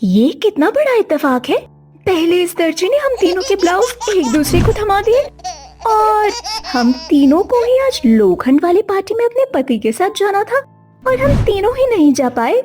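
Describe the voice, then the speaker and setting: A woman's voice: very high voice, sing-song, normal speed, darkish tone, faint music behind. A woman voicing Nisha, a talkative young wife who likes to complain, in a cartoon story. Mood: annoyed. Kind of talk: cartoon voice acting.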